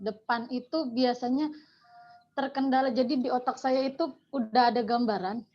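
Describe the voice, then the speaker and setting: A woman's voice: fairly high pitch, steady tone, moderate pace. A woman with a Javanese Indonesian accent asking a question from the audience, rambling and mixing in Javanese words. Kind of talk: casual question. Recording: dull lo-fi sound from off-mic.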